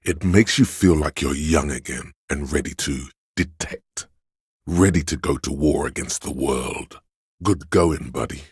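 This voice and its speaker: An actor voicing a seductive, hedonistic inner voice of addiction and lust in a video game, savoring words in a husky, insinuating way. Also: male voice, measured pace, darkish tone, no echo, clean studio sound, full character voice. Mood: coaxing, knowing. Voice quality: deep, gravelly, husky. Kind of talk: video game character narration.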